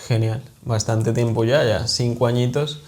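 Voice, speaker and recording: A man hosts a podcast with a warm, mellow voice. He keeps the talk loose and joking as he questions his guest. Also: mid-range voice, fairly even intonation, a little slow. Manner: joking, casual interviewer. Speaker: podcast host. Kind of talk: podcast interview.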